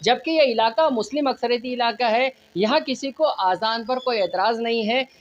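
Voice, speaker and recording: A woman's voice: fairly high pitch, normal speed, lo-fi sound. A woman reporting news on location in formal, wordy, repetitive phrasing. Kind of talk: news reporting, interviewing locals. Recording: noisy on-location news audio.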